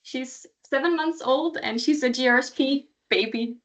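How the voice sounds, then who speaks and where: A woman's voice: high-pitched, normal speed. Cheerful Swedish-born woman in her thirties. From Sweden. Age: 30s. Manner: cheerful, laughs lightly between sentences. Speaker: alumni speaker. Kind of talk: casual personal update on a video call. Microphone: video call.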